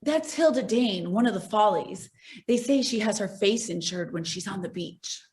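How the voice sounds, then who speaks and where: A wealthy, worldly widow, played by an actress, speaking in a mid-pitched voice. She is self-possessed and matter-of-fact, delivering society dialogue from a stage comedy. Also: lively intonation, moderate pace, a little mellow, close to an everyday voice. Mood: assured. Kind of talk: stage comedy acting over video call. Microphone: video call.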